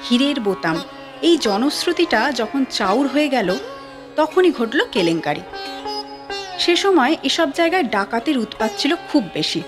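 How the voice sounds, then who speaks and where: A woman's voice: high voice, very animated, measured pace. Wry woman storyteller over sitar music. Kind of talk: scripted narration. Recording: sitar music bed behind.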